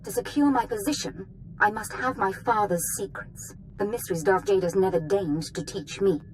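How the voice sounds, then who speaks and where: An actress playing a haughty, threatening young dark lord in a video game, speaking theatrically. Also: female voice, medium pitch, moderate pace, background noise, close to an everyday voice. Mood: cold, ambitious. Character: imperious, menacing young female dark lord, daughter of a dead master. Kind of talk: scripted video game acting.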